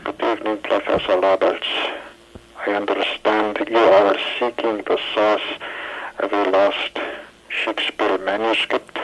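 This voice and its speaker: A man playing a secretive physics professor in a 1980s audio drama, speaking in a measured, formal and guarded way over the telephone. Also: fairly low pitch, little pitch movement, fairly bright tone, poor audio.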